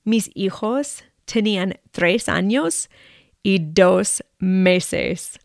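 An American woman in her forties, a native English speaker and Spanish teacher, reading a personal story slowly and carefully with an American accent. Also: medium pitch, creaky, dry recording, studio quality. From United States. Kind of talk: read personal narrative.

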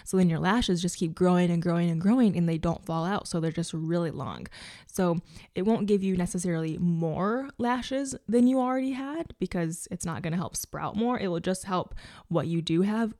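A woman in her mid twenties talks in a relaxed, mellow voice that sits slightly low for a woman. She is chatty and girly, sharing her enthusiasm like a beauty creator in a casual chat. The sound is close and dry. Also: normal speed, no room sound.

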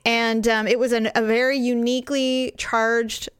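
A middle-aged woman, slightly hoarse, telling a story in casual, animated chat and laughing often. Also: fairly high pitch, a little slow, dry recording, clean studio sound. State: hoarse, recovering voice.

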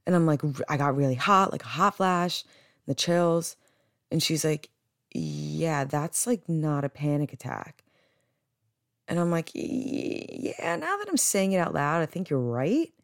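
Young American woman, low-pitched, chatting casually. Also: animated, unhurried, creaky, no echo, studio quality. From New Jersey, United States. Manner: chatty, rambling, candid, frequent "like". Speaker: podcast host. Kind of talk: casual solo podcast chat.

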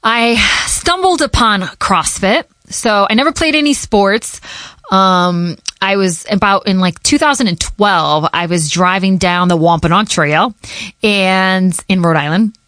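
A woman's voice: medium pitch, measured pace, a bit bright, no echo. A woman in her thirties from Connecticut, a radio host whose first language is Portuguese, chatting casually with listeners and answering their questions, with a loose manner and laughs between thoughts. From Connecticut, USA.

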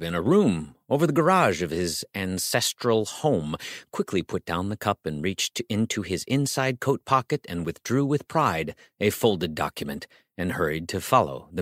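A man's voice: lowish voice, a bit bright, dry recording, studio quality. A man narrating a comic story as a scripted audiobook, theatrical and wry, savoring his phrases.

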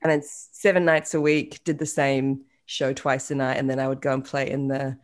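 A woman with a New Zealand accent and a low voice tells her story in a chatty, self-deprecating way, with plenty of ums and restarts. She speaks over a video call, as a guest on an interview show. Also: little pitch movement, moderate pace. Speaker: guest musician.

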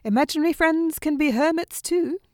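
A woman's voice: high voice, brisk, no echo, clean studio sound, close to an everyday voice. An actress playing a self-assured, flippant woman with a breezy, sarcastic manner. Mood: teasing, breezy.

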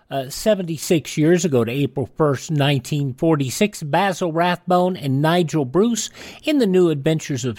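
Male American radio host, expressive rise and fall, folksy presenting, very dry close-miked studio sound. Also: a little high, dry recording. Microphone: close studio mic.

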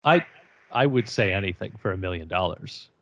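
A man with a low voice, a voiceover artist chatting as a podcast guest in an easygoing, joking way. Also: lively intonation, moderate pace, gravelly, dull audio, a little hiss or hum. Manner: easygoing, joking, chuckles often. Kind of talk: casual podcast chat.

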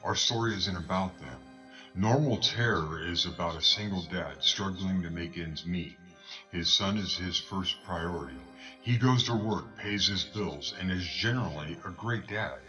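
A man's voice: low voice, a little slow, pushed and bright, poor audio. A man narrating a horror film trailer in an ominous announcer voice over a music bed. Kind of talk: dramatic movie trailer voice-over.

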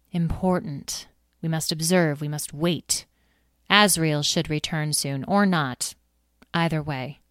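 A woman's voice: low-pitched, dry recording, clean studio sound. A woman voicing a proud, imperious fairy leader in a storybook who snaps and lectures the others. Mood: pompous. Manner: snappish and haughty.

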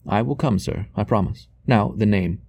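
A man reading the part of a gentle, reserved male priest in a novel, courteous and measured. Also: fairly low pitch, gravelly. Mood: calm, guarded.

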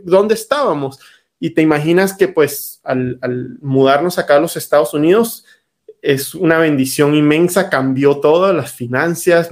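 A man speaks as a guest, recounting his life in a halting, searching way full of small restarts. His voice sits a touch higher than most men's. The feel is a relaxed conversation. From Venezuela. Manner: halting, many fillers and restarts. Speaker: guest, startup founder. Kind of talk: conversational interview, storytelling.

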